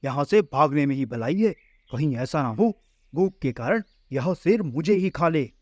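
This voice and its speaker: A man's voice: a little high, expressive, a little slow, darkish tone, no echo, studio quality. A man voicing a hungry, cowardly male jungle lion in a children's cartoon story, exclaiming in alarm. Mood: scared.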